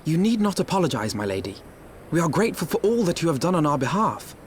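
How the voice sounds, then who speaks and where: A man's voice: a little high, sing-song, fast, a little hiss or hum. An actor playing an earnest, formal young male scholar in video game voice acting. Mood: gracious.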